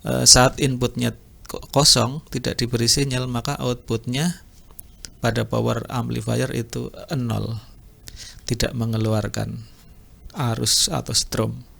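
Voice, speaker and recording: A man's voice: medium pitch, level tone, fairly bright tone. A man giving an informal spoken tutorial, explaining patiently and repeating himself as an instructor does. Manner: unhurried explaining with repetition and throat clearing. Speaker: audio repair instructor.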